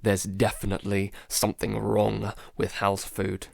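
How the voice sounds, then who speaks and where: A man playing a frightened, traumatised survivor in a halting, dramatic audio-drama monologue. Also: on the low side, monotone, creaky, heavy background noise. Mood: uneasy, suspicious. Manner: halting, stammering, broken by sighs. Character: frightened, traumatised male survivor keeping a monster-apocalypse audio diary.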